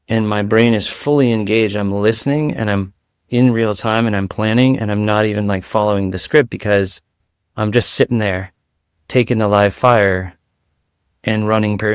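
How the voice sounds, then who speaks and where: A man's voice: lowish voice, clear, resonant tone, dry recording. Man with a light voice, dry sound. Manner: animated, rapid-fire analogies, rambling restarts. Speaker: guest. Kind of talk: casual interview chat.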